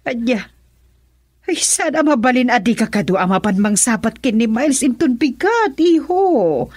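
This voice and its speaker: A woman's voice: fairly high pitch, lively intonation, moderate pace, darkish tone, husky, no echo, clean studio sound. A Filipino woman playing a stern, controlling middle-aged mother in a radio drama. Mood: insistent.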